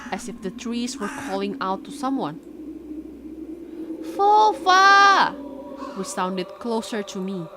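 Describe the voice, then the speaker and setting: A woman's voice: mid-range voice, slow, long pauses, background noise. A young woman streamer whose first language is Indonesian, reading a visual novel aloud and breaking off to react and greet her chat. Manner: reads the story dramatically, breaks off for excited reactions and greetings to chat. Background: game music.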